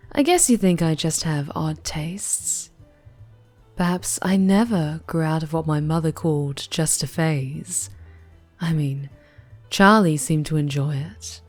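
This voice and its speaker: An actress as a poised vampire woman, flirty and teasing. Her voice sits fairly low and moves very slowly, in an ASMR roleplay. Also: darkish tone, no echo, soft background music. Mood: sly, teasing. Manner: teasing, reassuring, unhurried. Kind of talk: ASMR audio roleplay acting.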